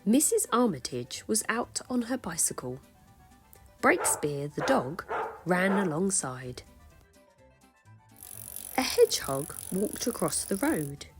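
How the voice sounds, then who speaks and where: A woman reading a children's picture book aloud in an expressive storytelling style. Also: medium pitch, takes it slowly, long pauses, music in the background.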